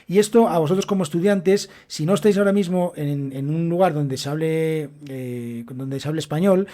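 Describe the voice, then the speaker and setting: A man's voice: a little high, normal speed, mellow. A young man with a Castilian Spanish accent, hosting a solo Spanish-learning podcast and explaining things directly to his students. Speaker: podcast host and Spanish teacher. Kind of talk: solo educational podcast monologue.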